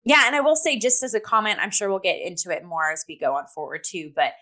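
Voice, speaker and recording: A young adult woman hosting a casual review chat in an enthusiastic, expressive and emphatic way. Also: mid-range voice, fast talker. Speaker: host and theatre reviewer.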